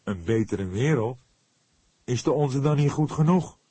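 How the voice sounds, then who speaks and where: A man's voice: mid-range voice, lively intonation, unhurried, dark tone, husky, no echo, pitched above the performer's own voice. A man voicing a cynical, mocking police photographer in an audiobook, brash and offhand in his scorn. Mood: scornful.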